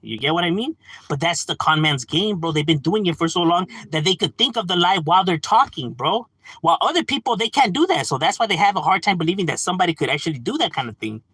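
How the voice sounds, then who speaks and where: A man hosts a casual stream, talking straight into a close, dry mic. His voice is husky and sits a bit high, with a lively, swooping pitch. He argues his point with lots of "bro" and "you know", chuckling as he goes. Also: fast, dry recording, poor audio. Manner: animated, argumentative, addresses chat as "bro"; frequent laughs and filler "you know". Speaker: livestream host. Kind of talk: casual livestream rant.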